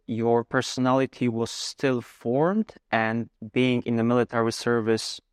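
A man hosting a podcast, reading a scripted introduction and asking measured, deliberate questions. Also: medium pitch, smooth, no echo, studio quality. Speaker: podcast host.

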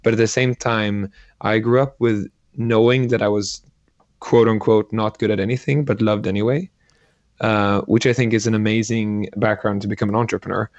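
Low-voiced man, lo-fi line. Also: fairly even intonation, normal speed, dry recording. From Sweden. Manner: thoughtful, hesitant, many fillers like "like" and "um". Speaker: entrepreneur and angel investor. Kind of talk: interview guest.

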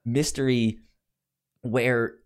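A man's voice: mid-range voice, level tone, extremely slow. A man co-hosting a podcast, chatting casually as he gives recommendations, often stammering over word starts. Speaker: podcast co-host. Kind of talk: casual chat, giving recommendations.

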